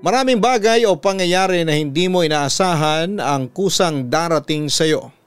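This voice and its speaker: Smooth, high-pitched Filipino man reading. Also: moderate pace. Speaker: radio announcer and story reader. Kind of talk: dramatic reading of a listener's letter. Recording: music bed behind the voice. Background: background music.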